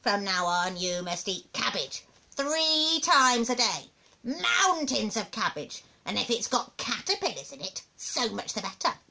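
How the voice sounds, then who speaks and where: A nasty old storybook grandmother, acted out by a woman, bossy and full of scorn. She snaps out her orders slowly and emphatically, and her pitch swoops dramatically from high to low. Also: big pitch swings, gravelly, pushed and bright, slight background noise, exaggerated voice. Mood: stern, bossy. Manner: snappish, scornful, drawn-out emphatic delivery.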